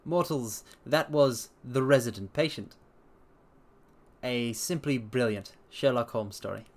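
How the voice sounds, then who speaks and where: A man's voice: mid-range voice, measured pace. A man giving a dramatic story reading as a streamer, with a theatrical, grandiose way of talking to listeners. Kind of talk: dramatic scripted narration with theatrical asides.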